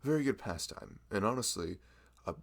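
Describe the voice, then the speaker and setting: A man playing a chatty, gravelly-voiced florist. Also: lowish voice, lively intonation, normal speed. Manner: rambling, self-deprecating, laughs often. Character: chatty nerdy young male florist, friendly. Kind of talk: ASMR roleplay.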